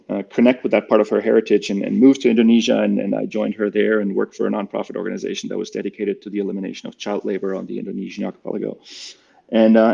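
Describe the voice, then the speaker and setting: A man in his forties, born in Germany, speaks as an interview guest. His voice sits low, with a gravelly edge and a dark, mellow tone. He answers reflectively, with plenty of fillers and restarts, and the recording sounds slightly dull. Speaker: guest, company cofounder.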